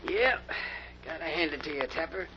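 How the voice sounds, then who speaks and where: An actor playing a rough, nervy gangster henchman in a 1940s radio crime drama, talking in tough slang. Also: on the high side, lively intonation, moderate pace, lightly acted. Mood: uneasy. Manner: slangy tough-guy talk. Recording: noisy muffled old radio recording.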